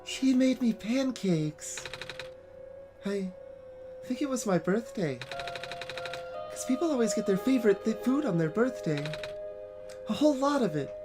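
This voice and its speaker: A woman's voice: on the high side, lively intonation, measured pace, pauses often, music in the background. A woman voicing an innocent, chatty child in a video game, asking things in an earnest, inquisitive way. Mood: wistful. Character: innocent, chatty child who dreams of pancakes. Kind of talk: voiced video game dialogue.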